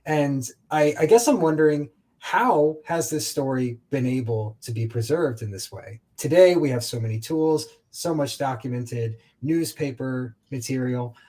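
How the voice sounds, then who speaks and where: Male host, mid-range voice, reflective and articulate, measured conversational questioning. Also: mid-range voice, dark tone. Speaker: host, interviewer. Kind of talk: conversational interview hosting.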